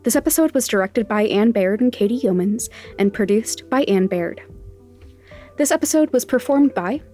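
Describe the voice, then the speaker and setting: A woman's voice: a little high, lively intonation, normal speed, dark tone. A woman reading scripted end credits as the creator of a podcast. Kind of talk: scripted narration. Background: faint music.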